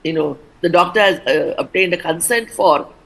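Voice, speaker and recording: Measured woman doctor explaining. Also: fairly low pitch, vocal fry, rough recording. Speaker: doctor, expert guest. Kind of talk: TV interview answers.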